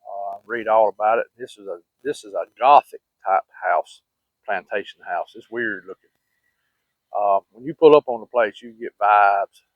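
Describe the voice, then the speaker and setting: A man's voice: lowish voice, moderate pace, dry recording. Folksy Southern man on muffled, phone-like audio. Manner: folksy, rambling, plain-spoken. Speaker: vlogger. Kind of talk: casual personal storytelling.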